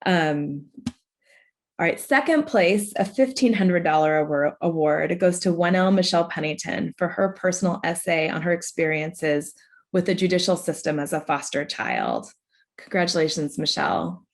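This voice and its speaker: A middle-aged American woman speaks in a slightly low voice. She presents awards in an informal, conversational way, chatting as she moves through the announcements. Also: sing-song, normal speed. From Oregon, United States. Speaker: ceremony presenter, law faculty.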